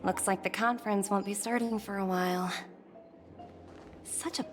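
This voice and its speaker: A woman's voice: mid-range voice, fairly even intonation, moderate pace, over music, close to an everyday voice. A woman voicing a poised, playful young corporate director in a video game, teasing and coolly confident in a polished way. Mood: musing.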